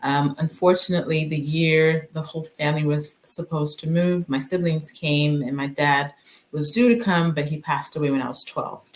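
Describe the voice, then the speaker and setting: A woman from Nigeria talks through her story as an interview guest, pausing often and choosing her words with care. Her voice sits very low. She sounds reflective and unhurried in her hesitations. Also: steady tone. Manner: reflective, hesitant, with frequent fillers. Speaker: physician guest. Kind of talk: conversational interview.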